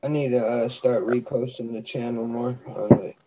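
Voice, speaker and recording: A man chatting casually on a livestream, relaxed and halting, laughing often between remarks. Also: medium pitch, very noisy. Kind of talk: casual group chat on a livestream.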